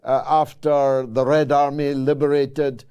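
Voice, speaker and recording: Older host in his sixties from Britain, orotund and declamatory, slow measured delivery. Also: mid-range voice, flat delivery, warm tone, clean tone. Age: 60s. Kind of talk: political commentary show.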